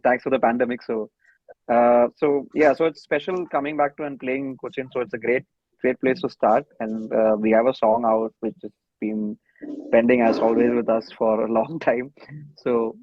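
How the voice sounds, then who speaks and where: A man's voice: medium pitch, no room sound. A man from India with an Indian accent, talking casually as a musician guest in an interview. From Kochi, India. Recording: dry, dull lo-fi call audio.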